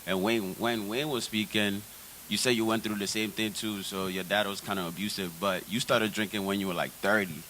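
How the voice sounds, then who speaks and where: A man with a low but bright voice asks a long, casual question from the audience. A faint hiss runs behind him. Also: steady tone, moderate pace, rough recording. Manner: rambling, uses "like" and "kinda". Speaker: audience member asking a question.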